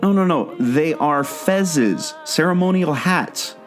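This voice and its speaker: A confident, plucky explorer acted by a man in a radio adventure play. He speaks emphatically with big swings of intonation, over clearly audible music. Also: a little high, expressive, takes it slowly. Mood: knowing. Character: plucky male explorer, confident, eager, knowing.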